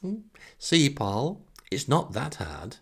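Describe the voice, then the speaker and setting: Man putting on a higher-voiced, coaxing wife. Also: wildly swooping pitch, slow pace, husky, heavily acted. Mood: fond, coaxing. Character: doting, encouraging, slightly smug wife. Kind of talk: acted quote in comic monologue.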